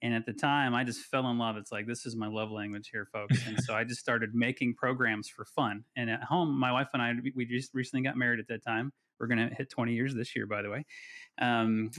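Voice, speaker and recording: A man's voice: mid-range voice, a bit bright. A man in his forties, a podcast guest telling his story in a chatty, self-deprecating way. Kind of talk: casual podcast interview.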